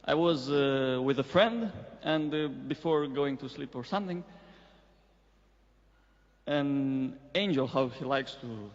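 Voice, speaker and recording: A man's voice: mid-range voice, expressive, slow. A man in the audience hesitantly recounting a personal experience, with many fillers and sidetracks. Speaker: audience member asking a question. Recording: muffled recording in a reverberant hall.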